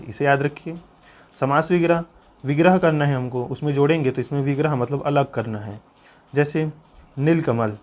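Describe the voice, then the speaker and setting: A man's voice: fairly high pitch, darkish tone. Male exam tutor. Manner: repeatedly urges listeners to remember, instructive. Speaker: teacher. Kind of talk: exam-preparation lesson, explaining notes aloud.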